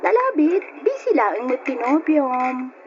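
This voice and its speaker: An actress with an Ilocano Filipino accent playing a curious, reassuring young confidante in a radio drama. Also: female voice, high-pitched, very animated, slow pace, heavily acted. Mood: curious, teasing. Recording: muffled, noisy old radio drama.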